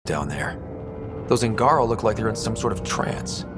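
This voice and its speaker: An actor playing a practical, steady squad soldier in a video game, plain-spoken and businesslike. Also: male voice, lowish voice, moderate pace, over music, lightly acted. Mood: blunt, practical.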